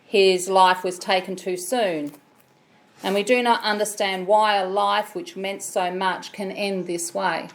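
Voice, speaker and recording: Aussie lady running a funeral in a chapel, big loud voice with some grit, slow and solemn. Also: medium pitch, fairly even intonation. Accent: Australian. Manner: measured, solemn delivery. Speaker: funeral celebrant. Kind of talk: officiating a funeral service.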